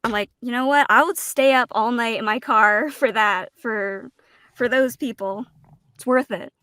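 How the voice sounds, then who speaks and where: A woman giving a casual, reflective interview answer, earnest and halting, with informal turns of phrase. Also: on the high side, pushed and bright, smooth, no room sound. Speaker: guest voice actor and streamer.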